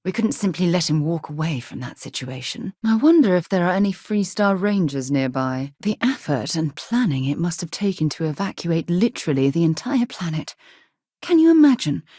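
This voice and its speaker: An actress playing a resolute, principled woman space explorer in a video game, with a husky voice and an earnest, articulate way of speaking. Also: fairly low pitch, big pitch swings, normal speed, mellow, no echo, clean studio sound. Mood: curious, businesslike. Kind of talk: video game character acting.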